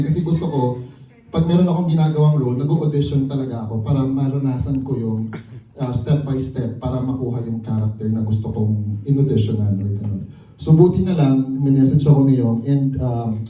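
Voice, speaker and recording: A man's voice: medium pitch, warm tone. A man, a stage actor, chatting loosely about how he got his role, with many fillers and frequent laughs. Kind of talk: casual chat at a cast event. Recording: distant, echoey room with music.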